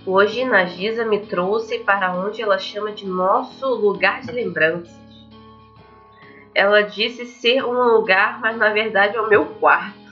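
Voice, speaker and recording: A woman's voice: medium pitch, unhurried, a bit bright. A young woman with a Brazilian accent, chatting informally and reading aloud as she plays a visual novel. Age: young adult. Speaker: gaming video host. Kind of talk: visual novel let's play, casual commentary and reading aloud. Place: indoors at home, facing a webcam. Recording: muffled lo-fi mic, game music behind.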